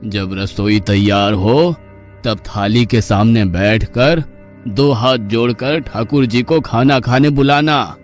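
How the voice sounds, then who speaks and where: Brief: strict, patient old guru, unhurried and admonishing, voiced by a man, mid-pitched. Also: soft background music, natural voice. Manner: slow, admonishing, fatherly. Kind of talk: Hindi cartoon story dubbing.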